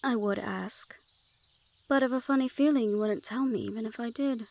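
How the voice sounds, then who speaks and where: Casting: woman to play haughty female Fae sentry for fantasy audio roleplay, higher-pitched voice, playful, sardonic, guarded. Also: normal speed, darkish tone, close to an everyday voice. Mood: wry, resentful. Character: teasing, wary Fae woman guarding her forest clan's border.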